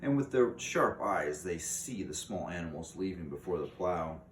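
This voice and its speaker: A man reading a storybook aloud in an expressive way, with dramatic pauses. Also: sits low. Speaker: reader of a children's book aloud. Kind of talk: storybook read-aloud narration. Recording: roomy, distant mic with faint noise.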